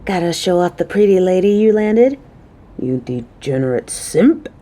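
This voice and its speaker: Woman acting a playful, motherly coworker with mellow voice. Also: fairly low pitch, expressive, unhurried. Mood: flirty teasing.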